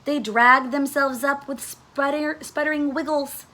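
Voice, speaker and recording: A woman reads a children's story aloud, slowly and deliberately. Her voice is high and bright, and she leans on key words for dramatic effect, like a teacher at story time. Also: steady tone. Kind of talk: expressive storybook read-aloud.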